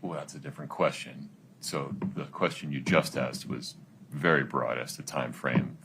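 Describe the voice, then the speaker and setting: A male judge ruling from the bench in a courtroom, measured and explanatory, on a noisy livestream recording. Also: a bass voice, steady tone. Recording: clear background noise, courtroom livestream.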